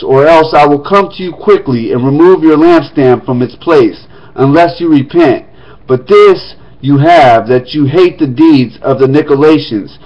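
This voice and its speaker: A man's voice: a little high, lo-fi sound. A man reading the Bible aloud in an emphatic, declamatory manner, with casual touches. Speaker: scripture reader.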